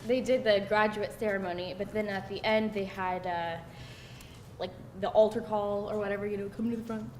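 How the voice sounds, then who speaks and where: A woman's voice: medium pitch, moderate pace, close to an everyday voice. A woman acting a candid, wry young woman telling stories in a live screenplay reading. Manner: animated, hesitant storytelling peppered with laughter. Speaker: actor at a screenplay table read. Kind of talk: conversational live script reading. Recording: echoey room with faint noise.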